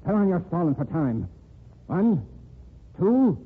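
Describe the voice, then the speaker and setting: A husky gun-waving gangster. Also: male voice, sits high, big pitch swings, slow pace, full character voice. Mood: threatening. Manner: threatening, counting down. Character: threatening gangster man with gun. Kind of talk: 1940s radio acting. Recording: tinny old radio transcription.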